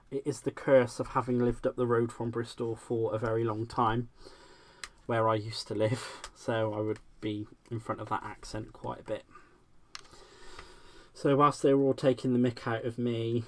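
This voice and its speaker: A man with a West Country accent chats casually and laughs often while he works on a craft project during a livestream. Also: mid-range voice. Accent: West Country English. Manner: chatty, self-deprecating, laughs often. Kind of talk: casual live-stream chat while crafting.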